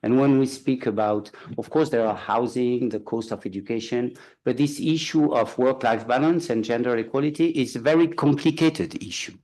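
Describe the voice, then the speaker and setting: A man from France, giving unscripted remarks as a symposium panelist, pausing often with "uh" and restarting his sentences. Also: mid-range voice, darkish tone, rough recording. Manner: halting, with frequent "uh" pauses and restarts.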